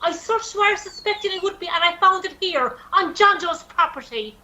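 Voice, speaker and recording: A woman acting an indignant, scolding, sharp-tongued Irish racehorse owner in an amateur radio play. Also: very high voice, lively intonation, moderate pace, full character voice. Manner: scolding, demanding. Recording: roomy, distant mic with hiss.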